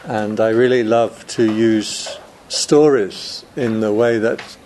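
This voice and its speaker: A man's voice: fairly low pitch, slow, darkish tone, slight background noise. A man, a Buddhist monk, giving a chatty Dharma talk to a room of students, joking and laughing often.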